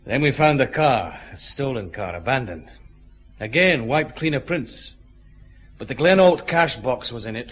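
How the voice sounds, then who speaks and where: An actor playing a middle-aged Scottish chief inspector in a radio detective drama, brisk, pressing and confident, with dry humour. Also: male voice, medium pitch, fairly bright tone, lightly acted. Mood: measured, pressing.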